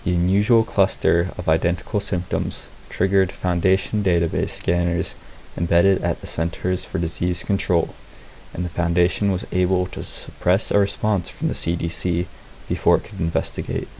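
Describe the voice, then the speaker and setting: A man giving a deadpan, clinical and formal narration of a scripted fictional report. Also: low voice, moderate pace, gravelly, low-quality recording. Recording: faint hiss behind the voice.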